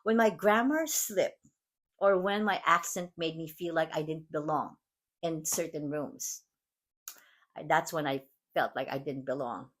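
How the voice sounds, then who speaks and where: A woman from the Philippines with a Filipino accent, hosting her podcast in an earnest, measured, reflective monologue. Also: deep voice. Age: adult mom. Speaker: podcast host. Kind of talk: scripted motivational monologue.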